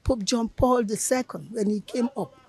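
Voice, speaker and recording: An older Nigerian woman with a Nigerian English accent, speaking in a slow, earnest way. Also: on the high side, darkish tone, husky, no echo. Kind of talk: reflective speech.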